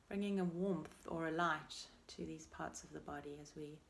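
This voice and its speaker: A woman with a fairly low voice and a clear creak leads a guided meditation. She gives soft, soothing instructions at an unhurried pace, leaving space between phrases. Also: slight background noise. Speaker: meditation teacher. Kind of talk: guided meditation, body scan.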